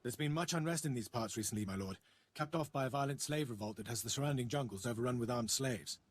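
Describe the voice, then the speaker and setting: An actor playing a dutiful, deferential military commander in a video game, giving a formal, brisk briefing. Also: male voice, mid-range voice, slightly rough, close to an everyday voice.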